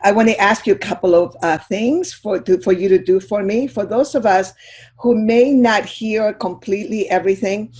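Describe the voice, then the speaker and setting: A woman's voice: mid-range voice, normal speed, darkish tone. An older woman from Chile, a guest speaker giving a heartfelt, reflective talk on a video call.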